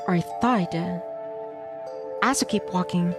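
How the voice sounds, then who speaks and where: A woman streamer reading a visual novel aloud in dramatic first person, tense and expressive, in short clipped phrases. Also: on the low side, moderate pace. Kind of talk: dramatic first-person narration of a game script. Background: game soundtrack music.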